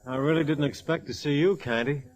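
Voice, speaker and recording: An actor playing a dry, wisecracking police detective in 1940s radio drama, with sardonic delivery. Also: male voice, on the high side, brisk, highs cut off, natural voice. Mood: teasing.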